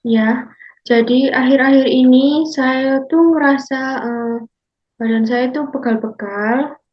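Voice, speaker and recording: A woman's voice: high-pitched, fairly even intonation. A woman playing a 65-year-old grandmother as a counseling client, speaking politely and deferentially. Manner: slow, polite, deferential, calls the counselor Mbak. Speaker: counseling client in a class role-play. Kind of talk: scripted role-play consultation. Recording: muffled lo-fi recording.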